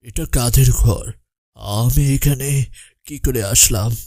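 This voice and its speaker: A man's voice: medium pitch, slow pace, a little mellow, no echo, studio quality. A man voicing a kindly, grateful and pious widower in cartoon dialogue. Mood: confused.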